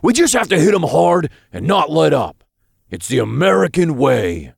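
A man voicing a brash male imp who puts on a gruff war general's voice, bossy and slangy. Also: fairly high pitch, sing-song, normal speed, dry recording, studio quality, voice pushed up, exaggerated voice. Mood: blustering. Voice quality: gruff, put-on general's bark.

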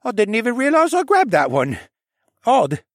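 A man's voice: very high-pitched, wildly swooping pitch, moderate pace, no room sound, clean studio sound, pitched above the performer's own voice, full character voice. A man voicing an anxious, emotional teenage stable boy who stammers and blurts things out. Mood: puzzled.

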